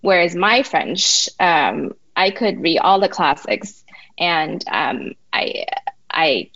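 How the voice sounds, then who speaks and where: A woman with Mandarin as her first language speaks as a podcast guest in a somewhat low voice. She muses aloud, pausing and restarting often. The sound is dry and a little muffled. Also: animated, vocal fry, a bit bright, no echo. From Taiwan. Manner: reflective, halting with many restarts and fillers. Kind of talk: casual interview.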